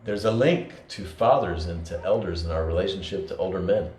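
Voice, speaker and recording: Low voiced guy thinking out loud, lots of uh and you know, echoey room. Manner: earnest, halting with many fillers and restarts. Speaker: podcast co-host. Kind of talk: reflective conversational talk. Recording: echoey room with faint noise.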